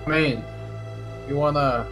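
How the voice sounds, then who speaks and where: A man's voice: on the high side, measured pace, music in the background, lightly acted. A man playing a nervous, chatty novice lumberjack in an online game roleplay, hesitant and repeating his words. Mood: uneasy.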